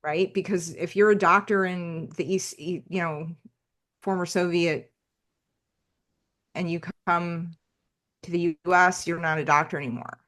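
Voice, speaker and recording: A woman from the United States, a podcast guest talking in a rambling, animated way about life abroad. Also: lowish voice, measured pace, long pauses. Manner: talkative, rambling, animated asides. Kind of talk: conversational podcast interview.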